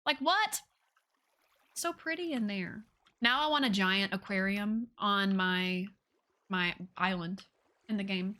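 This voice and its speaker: Bright-voiced, gushing woman livestreamer. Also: mid-range voice, slow, pauses often. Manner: gushing, enthusiastic; informal, says y'all and repeats phrases. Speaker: game livestream host. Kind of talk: casual livestream chat and game tour.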